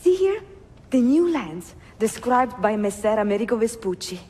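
A woman's voice: fairly high pitch, very animated, normal speed, warm tone, slightly rough. A woman playing an educated, poised young Italian bookseller in a video game, articulate, with an Italian accent and a reverberant sound. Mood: eager, curious. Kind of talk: game cutscene acting.

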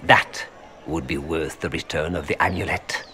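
An actor playing a suave, calculating merchant in a video game, speaking formally with a French accent. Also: male voice, rumbling low, fairly even intonation, moderate pace, bright, forceful tone, slight background noise. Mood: smooth bargaining. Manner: courtly, formal, smoothly unapologetic. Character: suave, calculating Orlesian merchant seeking noble influence. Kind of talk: video game dialogue acting.

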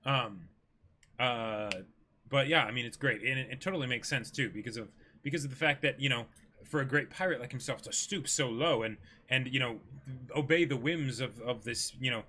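A man's voice: mid-range voice, normal speed, bright, forceful tone, a little hiss or hum. A man in his twenties chatting casually as co-host of an anime discussion stream. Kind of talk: casual chat.

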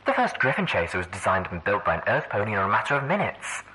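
A man's voice: fairly low pitch, big pitch swings, fast. A man voicing a smug, silky female pony overseer with a condescending manner in an audiobook. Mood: silky challenge. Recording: faintly noisy old audio log.

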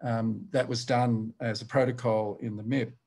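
An older man with a gravelly, slightly husky voice giving an academic lecture over slides, explaining in a measured, halting way. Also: medium pitch, little pitch movement, a little mellow, a little hiss or hum. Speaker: university professor giving a lecture. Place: indoors, on a video call.

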